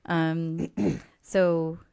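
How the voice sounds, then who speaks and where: A woman hosting a podcast and talking as a therapist, animated and emphatic in quick bursts. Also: sits low, gravelly, clear, resonant tone. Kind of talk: casual podcast chat.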